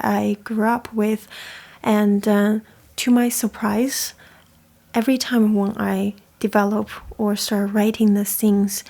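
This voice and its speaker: Woman, young adult, gravelly, flat and even delivery, soft and reflective. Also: mid-range voice. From China. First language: Chinese. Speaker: host. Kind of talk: casual reflective talk.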